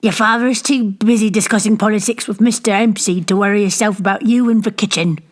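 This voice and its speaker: A woman's voice: mid-range voice, fairly even intonation, brisk, close to an everyday voice. An overworked kitchen maid voiced by a woman reader. She mutters and grumbles her way through her lines in a storybook manner. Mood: grumbling. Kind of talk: storybook character reading.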